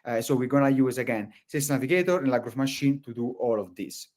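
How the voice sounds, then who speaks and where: A man's voice: medium pitch, quick pace, a little mellow. A man from Italy with an Italian accent, presenting a live webinar over a video call, talking in loose, rambling sentences full of fillers and restarts.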